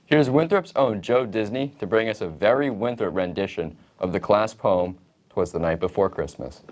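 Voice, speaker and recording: A man's voice: on the low side, normal speed, darkish tone. A young man presenting a student show in a bantering style. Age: teens to young adult. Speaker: student host of a school show.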